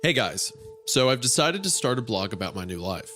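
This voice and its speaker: Man acting young male addict blogger, sarcastic, bitter, self-mocking, chatty storytelling, bright tone, faint music behind. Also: medium pitch, sing-song, brisk. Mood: breezy. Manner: chatty, sarcastic first-person blog delivery.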